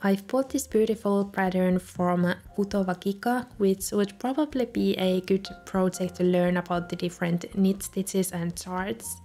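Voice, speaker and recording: Young woman, native Finnish speaker, soft-spoken narration, faint music underneath. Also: mid-range voice, steady tone, unhurried, few pauses. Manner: soft-spoken, light laughs between remarks. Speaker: journaling video creator. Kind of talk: calm voice-over narration. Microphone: voice-over recorded separately.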